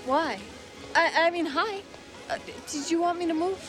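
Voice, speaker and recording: A woman's voice: very high voice, moderate pace. A woman playing a shy, nervous, nerdy teenage girl with a hesitant manner in a television drama. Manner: hesitant, flustered. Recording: TV soundtrack with music underneath.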